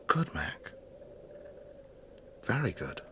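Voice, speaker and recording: An actor playing a dry, wary expedition doctor with a husky voice, in a radio drama. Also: male voice, low voice, sing-song, drawn-out words, pushed and bright, exaggerated voice. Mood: exasperated, thoughtful.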